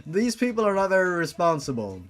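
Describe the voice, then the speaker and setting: Male comedy reader, mocking and incredulous, slightly high and very animated. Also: brisk. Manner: mocking asides, incredulous outbursts. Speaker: reader and commentator. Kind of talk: sarcastic comedy reading of fan fiction.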